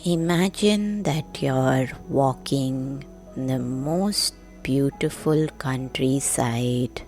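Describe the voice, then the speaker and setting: A woman narrating a guided sleep meditation in a gentle, hushed, soothing manner with long pauses. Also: extremely low pitch, faint music behind. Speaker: meditation guide, podcast host.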